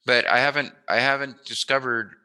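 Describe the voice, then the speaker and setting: A man's voice: mid-range voice, steady tone, normal speed, bright, forceful tone, clean tone, poor audio. A man hosting a casual interview, talking in an informal, meandering way. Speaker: interviewer, host.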